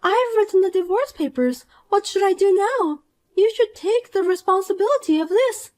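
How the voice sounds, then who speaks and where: A woman's voice: extremely high pitch, animated, moderate pace, a little mellow, voice pushed up. A woman voicing a shallow, selfish, jealous young woman in a dramatized story, accusing and self-pitying. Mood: whiny.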